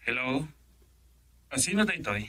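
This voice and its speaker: A man's voice: mid-range voice, sing-song, a little slow, bright, forceful tone, heavily acted. An actor playing an eager, teasing man in radio drama dialogue.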